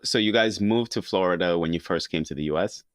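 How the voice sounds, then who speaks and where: A man hosting a podcast, reading a steady introduction and asking measured interview questions. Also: low-pitched, no room sound, studio quality. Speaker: podcast host.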